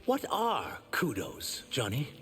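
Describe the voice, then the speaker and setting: A man's voice: medium pitch, huge pitch swings, slow, fairly bright tone, exaggerated voice. A man voicing an earnest young shapeshifting reptilian warrior in human form for a video game. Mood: wry, sincere. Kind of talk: video game character dialogue.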